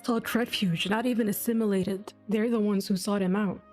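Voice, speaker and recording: A woman's voice: mid-range voice, fairly even intonation, moderate pace, darkish tone. A woman giving casual, emotionally invested reaction commentary on a game, thinking aloud and swearing freely. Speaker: game streamer. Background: faint game music.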